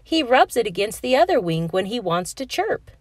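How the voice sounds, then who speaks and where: A proud little animal pupil in a children's storybook, voiced by a woman. The delivery is earnest and friendly, with childlike explaining and lively swings in pitch. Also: moderate pace, no echo.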